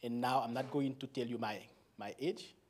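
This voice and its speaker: A man in his forties with a Haitian accent, a native Creole speaker, giving a slow, laughing talk to a church as a guest pastor. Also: mid-range voice, steady tone. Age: 40s. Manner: slow, warm and laughing, with frequent pauses.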